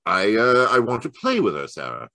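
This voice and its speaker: Low, swooping radio detective, acted by a man. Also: wildly swooping pitch, pushed and bright, dry recording, studio quality, exaggerated voice. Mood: hesitant, coaxing. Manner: halting, stammering, then deliberate and formal. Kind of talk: old-time radio mystery acting. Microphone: home microphone on a video call.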